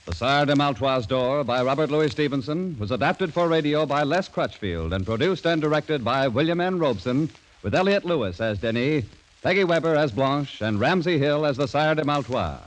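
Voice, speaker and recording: A man's voice: a little high, expressive, moderate pace, slight background noise, low-quality recording. An American man announcing formally in 1940s network radio style. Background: prominent music.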